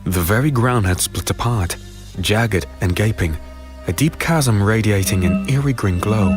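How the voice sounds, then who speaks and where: Low-voiced brooding fantasy hero, acted by a man. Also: close to an everyday voice. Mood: ominous, tense. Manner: deliberate, somber, dramatic. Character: weary, brooding male fantasy hero telling his own tale. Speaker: narrator and lead character. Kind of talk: first-person dark fantasy audio drama narration. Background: music.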